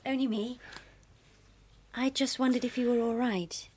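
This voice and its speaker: A young woman acting in a radio drama as a caring daughter, tentative and gently probing. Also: on the high side, steady tone, measured pace, slight background noise, close to an everyday voice. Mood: concerned. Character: concerned, gently probing daughter living at home.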